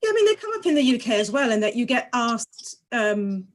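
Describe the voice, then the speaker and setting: A woman's voice: a little high, very animated, a little fast. A woman from London on a discussion panel, speaking conversationally and acting out little exchanges as she talks. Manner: conversational, acts out quoted exchanges.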